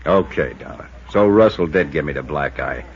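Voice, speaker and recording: An American actor playing a gruff, defensive, streetwise hotel bartender with blunt slangy talk in a 1950s radio drama. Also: male voice, rumbling low, expressive, normal speed. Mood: grudging confession. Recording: muffled, faintly noisy 1950s radio recording.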